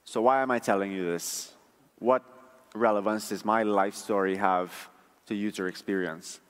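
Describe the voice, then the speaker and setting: A man from Trinidad gives a prepared conference talk in a measured, conversational, explanatory way. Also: fairly low pitch, fairly even intonation, clear, resonant tone. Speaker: conference speaker, front-end engineer. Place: large conference room. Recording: echoey hall, long reverb.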